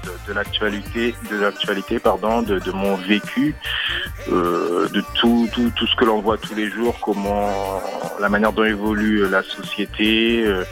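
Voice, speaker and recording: A man's voice: fairly low pitch, fairly even intonation, measured pace. A man from French Guiana answering interview questions as a reggae musician, hesitant, with many fillers and repeated words. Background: music playing under the voice.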